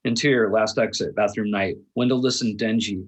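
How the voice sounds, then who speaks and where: A man with a husky voice narrating a script's stage directions at a table read. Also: on the low side, steady tone, brisk.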